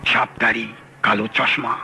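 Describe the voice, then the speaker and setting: An actor playing a fussy, excitable middle-aged thriller writer in an old radio play. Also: male voice, mid-range voice, a little slow, vocal fry, background noise. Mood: anxious. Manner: excitable, fretful, pompous. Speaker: Lalmohan Babu. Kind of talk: 1970s radio drama acting. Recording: old radio broadcast with music.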